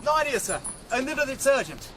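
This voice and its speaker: Very high-pitched, hurried messenger. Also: male voice, very animated, background noise. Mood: hurried, urgent. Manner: urgent, deferential. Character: breathlessly hurried, respectful young army dispatch rider. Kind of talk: period radio drama acting.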